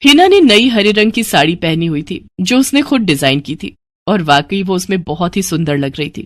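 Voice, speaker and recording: A woman narrating a story in a steady, even way. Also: lowish voice, moderate pace, dry recording, studio quality.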